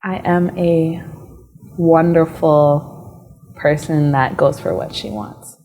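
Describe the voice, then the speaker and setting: A woman's voice: deep voice, steady tone, takes it slowly, mellow, slight background noise, low-quality recording. A young businesswoman, a guest on an interview show, answering reflectively with hesitations and restarts. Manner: reflective, hesitant, many fillers and restarts, laughs easily. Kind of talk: casual personal interview answers.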